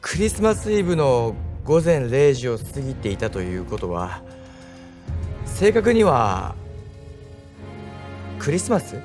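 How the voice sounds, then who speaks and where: A man's voice: medium pitch, big pitch swings, moderate pace, long pauses, darkish tone, faint music behind, lightly acted. An actor playing a man in a courtroom film, stating facts in a dramatic film style. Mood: deliberate. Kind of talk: film acting.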